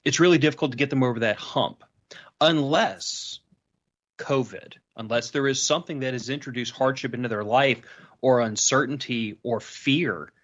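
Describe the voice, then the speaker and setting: A man with a mid-pitched voice talks on a casual panel. He explains things earnestly, repeating phrases for emphasis. The recording is a little dull and lo-fi. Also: normal speed. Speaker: panel participant. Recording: slightly dull, lo-fi sound.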